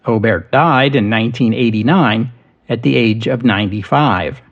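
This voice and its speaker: Man narrating history, slightly muffled. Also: medium pitch. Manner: measured, steady storytelling delivery. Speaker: history podcast host.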